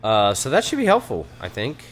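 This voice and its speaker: A hoarse man with a Texan accent, chatting nervously and muttering as he plays a horror game, swearing at the scares. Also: on the low side, huge pitch swings, fairly quick. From Texas. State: hoarse from allergies. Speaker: let's play gamer.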